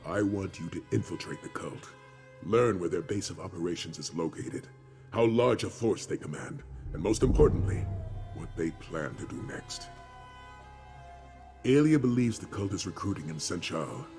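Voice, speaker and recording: An actor playing a grave, wise dragon-hunter commander in a video game, speaking in a measured, solemn, formal way. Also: male voice, low voice, animated, darkish tone. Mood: commanding, measured. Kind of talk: video game dialogue acting. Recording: music behind the voice.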